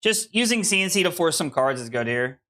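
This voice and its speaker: A man's voice: a little high, very animated, fairly quick, bright, forceful tone. A man in his late twenties, a card game streamer, chatting casually with viewers while he plays and rambling with lots of restarts. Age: 20s. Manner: rambling and self-deprecating, frequent restarts.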